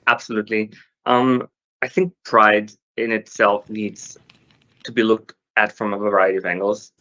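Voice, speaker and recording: Man, panel speaker, lowish voice, measured, hesitant, dull lo-fi stream audio. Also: little pitch movement, sharp tone. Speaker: marketing lead on a panel. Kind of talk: corporate panel discussion.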